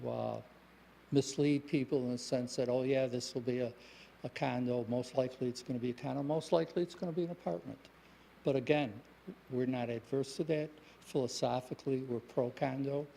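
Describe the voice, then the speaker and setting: A man speaking as a developer at a public planning meeting, explaining his plans in a measured way with fillers. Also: mid-range voice, creaky, warm tone, slight background noise, low-quality recording.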